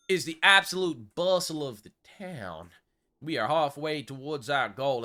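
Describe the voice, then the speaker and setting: Man putting on a bright, folksy Old West shopkeeper. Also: medium pitch, clean tone, exaggerated voice. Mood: determined. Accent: put-on American Old West. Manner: mock-formal, wordy, courteous frontier phrasing. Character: folksy, fussy Wild West gun shop owner, male. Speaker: gaming video creator. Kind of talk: in-character gameplay commentary.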